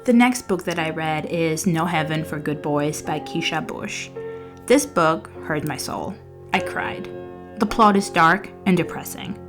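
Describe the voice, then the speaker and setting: Lower-voiced woman reviewing books. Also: measured pace, over music. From Albania. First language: Albanian. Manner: conversational, with fillers and restarts, partly read-out summaries. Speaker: book vlogger. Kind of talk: casual book review over journaling.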